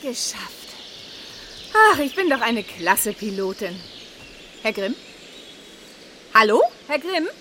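A woman's voice: a little high, big pitch swings, slow pace, long pauses, bright, forceful tone, slight background noise, exaggerated voice. A woman playing a plucky, cheeky young balloon pilot in a children's radio play, chatty and matter-of-fact. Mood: searching.

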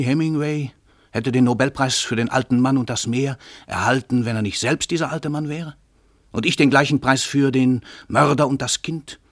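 Radio play casting: a cynical, domineering celebrity writer-killer, emphatic orator, slightly rough tone, close dry sound. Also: male voice, medium pitch, fairly bright tone, dry recording. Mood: provocative. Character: world-famous male crime writer, arrogant, cynical confessed murderer. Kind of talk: theatrical German radio drama monologue.

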